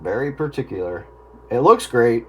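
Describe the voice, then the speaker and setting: A man chatting casually on a two-way radio, in a halting, folksy way. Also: mid-range voice, big pitch swings. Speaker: radio hobbyist on a group channel. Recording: noisy two-way radio transmission.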